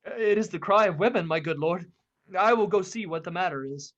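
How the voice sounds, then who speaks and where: A young man acts a nervous, deferential royal servant in a radio Shakespeare drama. His voice sits very high for a man. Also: brisk, a bit bright, highs cut off. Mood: anxious. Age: young adult. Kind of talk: 1940s radio play Shakespeare acting.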